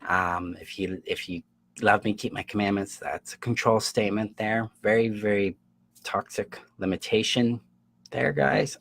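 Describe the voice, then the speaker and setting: A man giving an explanatory self-help coaching talk straight to viewers, stressing key words emphatically and checking in with listeners. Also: on the low side. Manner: emphatic, acts out imagined quotes, checks in with listeners.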